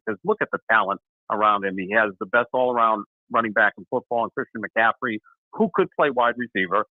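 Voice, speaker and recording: Fast-talking man in his sixties on a tinny line. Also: on the low side, no echo. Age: 60s. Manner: steady, fluent analysis in long lists. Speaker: sports radio co-host. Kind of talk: casual sports talk. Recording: dry, tinny phone-like line.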